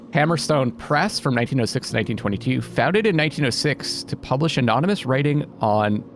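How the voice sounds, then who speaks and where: A man giving casual gaming commentary, thinking aloud, questioning himself and reading out bits of on-screen text. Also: mid-range voice. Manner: musing, often questioning himself. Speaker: let's play host. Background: game music.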